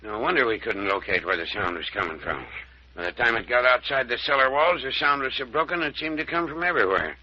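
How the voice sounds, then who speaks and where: Husky folksy country doctor, played by a man. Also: medium pitch, expressive, fast, close to an everyday voice. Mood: wry, grumbling. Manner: rustic, folksy, exclaiming. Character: folksy, blustery male country doctor, gruff but kindly. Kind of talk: old-time radio serial acting. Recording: dull lo-fi old radio recording.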